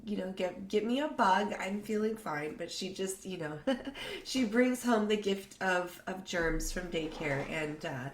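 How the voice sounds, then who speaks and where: A woman in her thirties, congested from a cold, hosting a live yoga workshop and talking haltingly with many fillers. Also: mid-range voice, lively intonation. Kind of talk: live online workshop talk. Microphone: computer mic on a live stream. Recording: echoey room.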